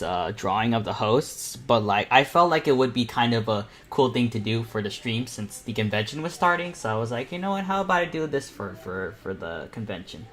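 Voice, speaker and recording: A man's voice: lowish voice, fairly bright tone. Young man rambling casually while drawing. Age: teenager. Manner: rambling, thinking aloud while working. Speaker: panel host and artist. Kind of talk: casual chat while drawing on a live stream.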